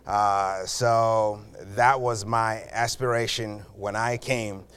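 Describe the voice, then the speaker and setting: Slow, halting missionary man. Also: on the low side, steady tone, fairly bright tone, clear, resonant tone. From Zambia. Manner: halting, with frequent uh fillers. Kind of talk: church greeting and testimony.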